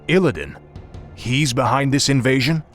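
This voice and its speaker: An actor playing a fallen prince turned undead death knight in a game, cold, haughty and commanding. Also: male voice, mid-range voice, sing-song, moderate pace, faint music behind, close to an everyday voice. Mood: questioning. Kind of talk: video game cutscene acting.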